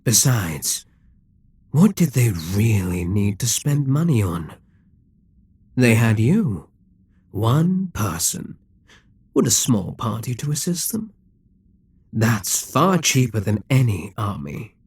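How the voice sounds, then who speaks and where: A man playing a smug, dominant Demon King in a dramatic ASMR roleplay, speaking in a husky, taunting, persuasive way with deliberate pauses. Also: fairly low pitch, big pitch swings, a little mellow, dry recording, full character voice. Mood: scornful, calculating.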